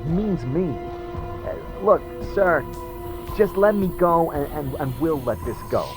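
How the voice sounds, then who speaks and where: Wisecracking talking skull sidekick, voiced by a man: fairly high, jittery, streetwise stammer, fantasy audio drama with music behind. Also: animated, measured pace. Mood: nervous, placating.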